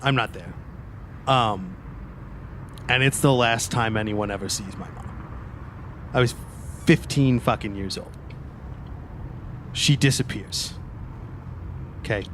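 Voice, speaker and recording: A man's voice: fairly low pitch, slow pace, pauses often, background noise. A man playing a sullen young man in his twenties, blunt and profane, in a tabletop roleplaying game. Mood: bitter, brooding. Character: sullen, sweary 23-year-old man nursing an old grudge.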